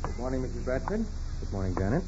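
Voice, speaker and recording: An actor playing a formal, deferential private secretary in a 1940s radio drama. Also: male voice, mid-range voice, very animated, fast, background noise, close to an everyday voice. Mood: formal, guarded. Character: male private secretary, deferential yet anxious. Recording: muffled 1940s radio recording.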